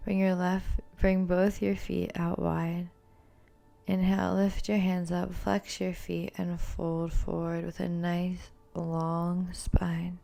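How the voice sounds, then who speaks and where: A woman leads a yoga class in a soft-edged, mellow voice with noticeable vocal fry. Her delivery is calm and very even in pitch, each instruction gently cued. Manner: calm, soothing, gently cueing. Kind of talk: guided yoga and meditation instruction.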